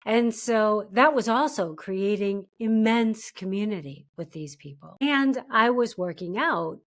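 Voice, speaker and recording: A woman's voice: mid-range voice, animated, measured pace. Middle-aged actress telling her story. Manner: storytelling, breaks plans into steps. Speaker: guest, actor. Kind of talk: casual interview talk.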